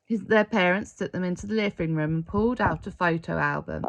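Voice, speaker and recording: A woman reading a children's story aloud in an expressive storytelling style. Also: on the low side, fairly quick. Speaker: storybook reader.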